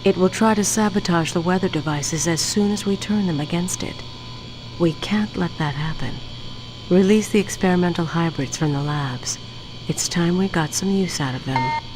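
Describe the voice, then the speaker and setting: A woman playing a cold, calculating mastermind in a video game cutscene, speaking in a measured, ominous way. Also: on the low side, over music, close to an everyday voice. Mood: cold, commanding. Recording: very noisy game cutscene audio.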